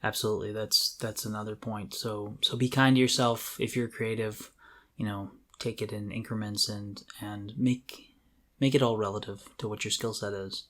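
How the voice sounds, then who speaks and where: A man's voice: lowish voice, level tone, normal speed, fairly bright tone. Man co-hosting a podcast, earnest and emphatic. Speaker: podcast co-host. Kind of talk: casual conversational advice on creativity.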